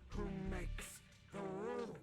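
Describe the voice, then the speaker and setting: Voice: medium pitch, takes it slowly. An actor voicing a menacing, bitter inner demon in a video game, taunting in hissed whispers and fierce outbursts. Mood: commanding, bitter. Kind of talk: dramatic video game voice acting. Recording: loud background music from game.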